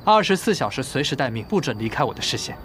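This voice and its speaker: A young actor playing a bossy, fussy, protective executive in a TV romance drama. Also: male voice, medium pitch, sing-song, fast, fairly bright tone, a little hiss or hum. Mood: firm. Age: young adult.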